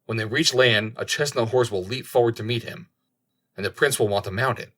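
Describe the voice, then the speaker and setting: Man playing a husky, foreboding storybook raven. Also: medium pitch, a little fast, pushed and bright. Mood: ominous. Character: knowing raven foretelling doom to a companion.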